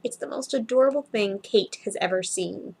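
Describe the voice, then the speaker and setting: A woman's voice: mid-range voice, normal speed, vocal fry, darkish tone, slightly rough. A woman reading fiction aloud as an amateur narrator, expressively, with the occasional stumble over a word. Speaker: amateur story reader.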